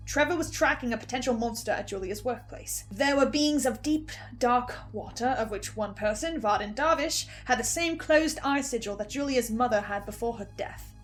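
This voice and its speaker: A woman host giving an informal fan recap and theory commentary, with animated asides that interrupt her own train of thought. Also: a little high, moderate pace, hardly any pauses, faint music behind. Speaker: host, first-time listener reviewer.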